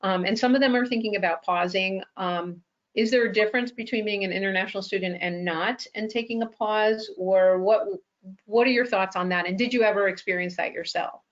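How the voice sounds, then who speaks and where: A woman's voice: mid-range voice, fairly quick, highs cut off. A woman hosting a webinar interview, asking long questions with many ums. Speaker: webinar host. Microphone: video call.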